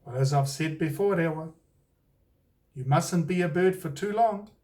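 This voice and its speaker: A man's voice: fairly high pitch, a little slow, darkish tone, natural voice. A man with a New Zealand accent voicing a solemn, cautioning wise man in a storybook, earnest and insistent. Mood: grave warning.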